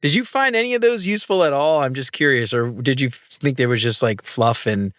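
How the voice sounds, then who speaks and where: A man's voice: mid-range voice, a little fast, fairly bright tone, no echo, studio quality. A man hosting a podcast interview, enthusiastic, acting out quoted people in a raised voice. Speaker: podcast host.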